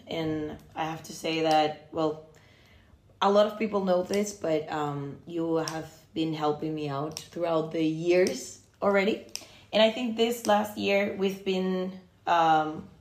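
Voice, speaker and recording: A woman from Costa Rica, a podcast guest, chatting casually and pausing often to find her words. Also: low-pitched, slight background noise. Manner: hesitant, many fillers and restarts, searching for words. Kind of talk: casual chat. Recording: roomy echo.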